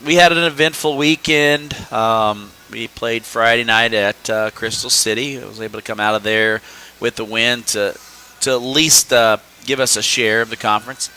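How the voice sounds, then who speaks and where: A man, a high school basketball coach, recapping games in casual podcast chat with many fillers and restarts. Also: fairly even intonation, moderate pace, few pauses, pushed and bright, clean tone.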